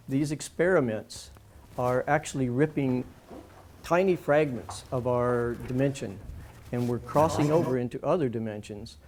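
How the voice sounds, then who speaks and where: A man's voice: mid-range voice, darkish tone. A man speaking off the cuff as a guest on a radio interview, earnest and emphatic, often restating his points. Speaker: alternative-media guest and writer. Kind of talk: unscripted radio interview talk.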